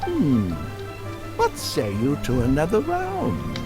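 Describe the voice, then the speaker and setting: Swooping, slow-paced older gambler. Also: male voice, a little high, wildly swooping pitch, over music, exaggerated voice. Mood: grumbling, wheedling. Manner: hesitant, courteous, theatrical delivery. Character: genial older male guide, wheedling, easily flustered gambler. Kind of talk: video game voice acting.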